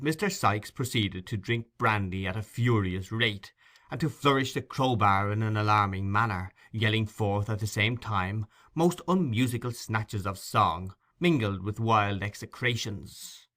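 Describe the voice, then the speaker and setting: A man narrating classic fiction as a scripted audiobook, in a measured, articulate storytelling manner. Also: fairly low pitch, expressive, fairly bright tone.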